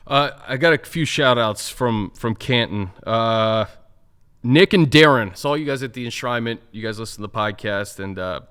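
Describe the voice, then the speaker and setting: A man hosting a team sports podcast at a middle pitch. He introduces guests and reads shout-outs in an easygoing way, filling pauses with ums and uhs. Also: animated, clear, resonant tone. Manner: easygoing, filler-heavy. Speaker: podcast host.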